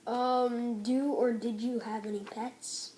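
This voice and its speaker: A woman's voice: a little high, fairly even intonation, slow, mellow, slight background noise. A female interviewer reading out prepared questions in a plain, hesitant way. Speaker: interviewer for a school project.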